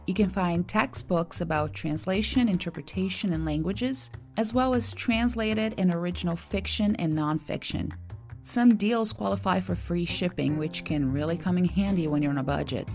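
A woman from Brazil whose first language is Portuguese, hosting a podcast and telling a personal story in a reflective, expressive way. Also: on the low side. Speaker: podcast host and translator. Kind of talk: personal monologue with an ad read.

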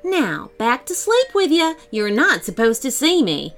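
A woman voicing a brisk, bossy little female tooth fairy who grumbles and snaps in a storybook reading. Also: sits high, sing-song, a bit bright, soft background music, pitched above the performer's own voice, heavily acted. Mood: fussy. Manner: snappy and fussy, grumbling.

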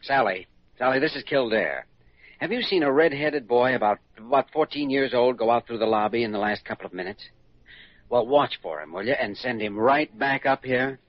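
An actor playing an earnest, patient, thoughtful younger hospital doctor in a 1940s-style radio drama. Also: male voice, mid-range voice, normal speed, a little hiss or hum, natural voice. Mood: urgent realization. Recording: dull, lo-fi vintage radio recording.

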